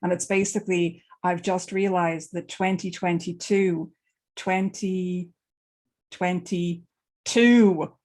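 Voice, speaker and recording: A woman's voice: lowish voice, steady tone, slow, darkish tone. A woman from Ireland with an Irish accent, chatting casually as she interviews a guest for a book club.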